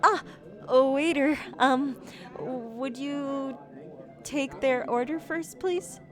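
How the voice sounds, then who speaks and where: A woman's voice: sits high, slow, crowd noise. A woman playing a shy, geeky young silver fox on a blind date, speaking politely and hesitantly in an ASMR roleplay. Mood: nervous, awkward. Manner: awkward, flustered, hesitant, politely formal. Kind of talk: ASMR roleplay, one-sided conversational acting.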